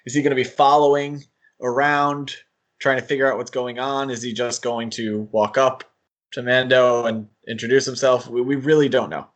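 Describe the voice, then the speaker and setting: A man with a middle-pitched voice co-hosts a casual podcast discussion. He thinks aloud, speculating and adding asides as he goes. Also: steady tone, normal speed. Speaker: podcast co-host.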